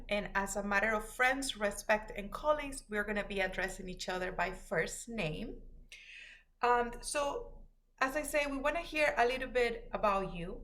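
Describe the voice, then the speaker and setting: A woman's voice: on the high side, fairly bright tone. A woman moderating a panel discussion, introducing topics and speakers.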